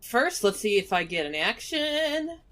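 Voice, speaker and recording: A woman's voice: on the high side, very animated, a little slow, sharp tone, soft background music. A woman playing a tabletop roleplaying game, casually describing what her character will do on her turn.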